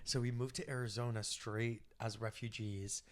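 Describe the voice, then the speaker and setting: A young man from Iraq, raised in the United States, chatting as a podcast guest in an animated, gossipy way with lots of "like". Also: medium pitch, moderate pace. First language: Arabic. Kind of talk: casual chat.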